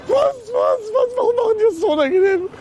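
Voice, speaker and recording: Young male streamer, high-pitched, rambling slangy chat from a bike, street noise throughout. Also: quick pace. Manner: slangy, excitable, swears a lot. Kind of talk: live outdoor stream chat. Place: outdoors on city streets. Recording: outdoor street noise with traffic.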